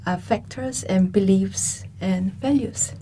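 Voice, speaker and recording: A woman, a soft-spoken, modest panel guest. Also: medium pitch, takes it slowly, slight background noise.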